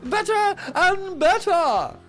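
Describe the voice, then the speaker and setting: A woman voicing a scatterbrained, fussy old queen with a very high, squealing voice. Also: very animated, a bit bright, soft background music, heavily acted. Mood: shrill, fussy. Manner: very high squealing, screaming, drawn-out.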